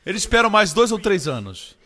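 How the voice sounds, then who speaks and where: A man preaching a sermon with emphatic delivery, climbing high in pitch on key phrases. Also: very animated, brisk, pushed and bright, in a large hall. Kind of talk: Portuguese-dubbed sermon.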